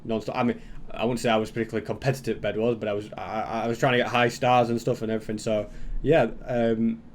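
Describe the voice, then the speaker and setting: A man's voice: on the low side, level tone, fast talker, slight background noise. A man giving casual reaction commentary as a YouTube host, rambling and thinking aloud as he goes.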